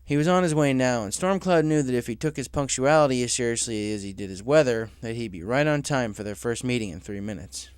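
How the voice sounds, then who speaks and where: A man's voice: medium pitch, a little fast, hardly any pauses, clean tone, no room sound, clean studio sound. A man reading scripted fiction aloud as a story narrator.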